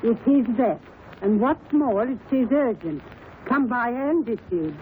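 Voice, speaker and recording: An actress playing an indignant, plain-spoken housekeeper with a bristling, emphatic manner in 1930s radio drama style. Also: female voice, on the high side, expressive, measured pace. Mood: huffy, insistent. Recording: muffled phone-like 1930s radio recording, hiss.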